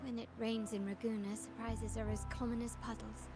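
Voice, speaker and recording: An actress playing a refined, guarded young heiress in a video game, speaking in an elegant, formal and composed manner. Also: female voice, on the high side, flat delivery, moderate pace, natural voice. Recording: music behind the voice. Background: loud game music.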